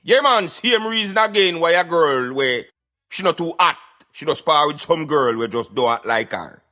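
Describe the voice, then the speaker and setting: A man's voice: on the high side, expressive, moderate pace, bright, forceful tone. A man with a Jamaican accent playing a streetwise, preachy Rasta commentator ranting insistently on a satirical radio show. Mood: exasperated, insistent. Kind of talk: satirical radio rant in patois.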